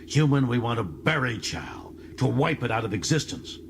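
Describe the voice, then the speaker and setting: A man's voice: mid-range voice, very animated, moderate pace, vocal fry, background noise, voice pushed up. An actor playing a bitter, proud young male alien prisoner in an audiobook, speaking with dramatic intensity and contempt. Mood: furious, bitter.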